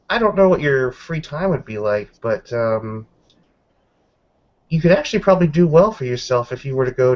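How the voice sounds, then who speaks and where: A man voicing a loyal, measured werewolf in a roleplaying game, speaking deliberately with pauses for emphasis. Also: mid-range voice, a little mellow, highs cut off, natural voice. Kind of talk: improvised in-character tabletop roleplay.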